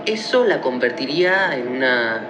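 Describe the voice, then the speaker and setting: An actor playing a courteous but blunt, slightly sardonic young gentleman in a radio play. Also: mid-range voice, very animated, moderate pace, background noise, lightly acted. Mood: polite, blunt. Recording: roomy sound.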